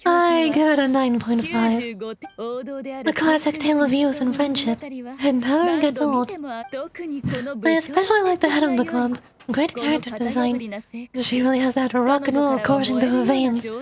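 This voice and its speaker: A woman's voice: sits high, unhurried, crowd noise. A woman playing a sly, composed, teasing fox-shrine lady in a video game, with a theatrical, self-assured delivery. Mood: assured, approving. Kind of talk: video game character dialogue. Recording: background music under game dialogue.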